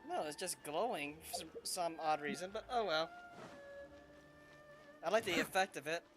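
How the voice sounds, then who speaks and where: A man's voice: fairly high pitch, normal speed, music in the background. A man casually commenting while he plays a video game, in an offhand, rambling way. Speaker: gameplay commentator.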